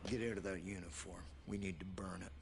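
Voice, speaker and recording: A gruff, older survivalist from a video game, gravelly and low. He gives terse, quiet commands, heard faint and distant over some noise. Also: steady tone, brisk. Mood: grim, commanding. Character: gruff older male survivalist giving urgent quiet orders. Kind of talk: cinematic video game acting.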